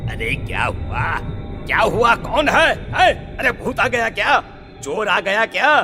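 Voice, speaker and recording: A man playing a jumpy, timid village farmer in a horror audio story, excitable and exclaiming. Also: high voice, unhurried, exaggerated voice. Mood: startled, scared. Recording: long reverb, faint music.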